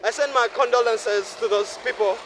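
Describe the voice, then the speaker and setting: A man in his twenties from Uganda, talking to himself in a repetitive, preachy monologue while walking a city street at night, sweaty from walking fast. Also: very high voice, fairly bright tone, slight background noise. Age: 20s. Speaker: vlogger. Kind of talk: rambling night-walk monologue.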